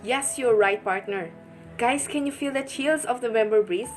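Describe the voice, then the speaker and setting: A young woman co-hosts a scripted radio segment in a bubbly, fairly high voice. Faint music plays under her. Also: moderate pace. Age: young adult. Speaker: radio DJ co-host. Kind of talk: scripted radio show hosting.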